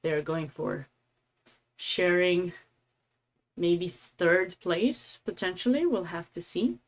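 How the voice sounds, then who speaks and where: A woman's voice: on the low side, slow pace. A woman from Romania with a Romanian accent, giving casual, chatty live game commentary as a streamer, with affectionate asides.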